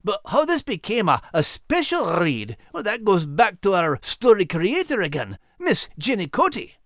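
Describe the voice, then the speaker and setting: A man voicing an earnest, grateful Scottish dog in a children's animal story, folksy and animated. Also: high voice, unhurried, no room sound, clean studio sound, pitched above the performer's own voice, full character voice. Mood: folksy, reflective.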